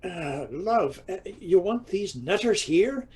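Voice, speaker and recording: A man's voice: high voice, lively intonation, slow, darkish tone, slightly rough, full character voice. A man playing a wry, teasing husband of an aging diva in a comedic audio drama.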